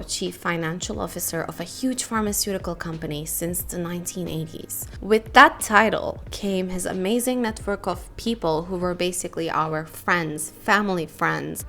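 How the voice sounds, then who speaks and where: A young woman from Turkey hosting her own podcast, talking candidly and emphatically in a solo monologue. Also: a bit bright. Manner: candid, emphatic, stresses words with rising pitch. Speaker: podcast host.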